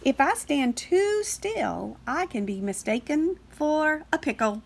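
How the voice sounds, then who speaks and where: Storybook counting number, played by a woman: higher-pitched, slow, chatty, sentimental, excitable. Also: vocal fry, exaggerated voice. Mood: confiding.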